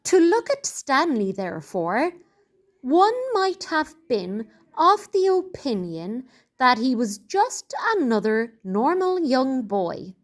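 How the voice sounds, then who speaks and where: Slow, animated woman reading a storybook. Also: high voice. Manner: deliberate, expressive, clearly phrased reading. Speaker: teacher reading aloud. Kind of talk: read-aloud storybook narration for children.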